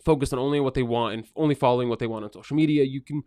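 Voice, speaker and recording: A man's voice: mid-range voice, racing, a little mellow, clear, resonant tone, no room sound. Earnest man with a dry close sound. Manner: earnest, repeats words when starting a thought. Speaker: restaurant owner. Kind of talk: casual podcast conversation.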